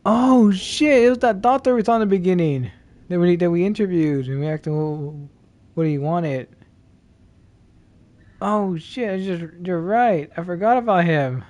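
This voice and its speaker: A man's voice: high voice, measured pace, a little mellow. A man streaming a video game, thinking aloud in informal, sweary live commentary.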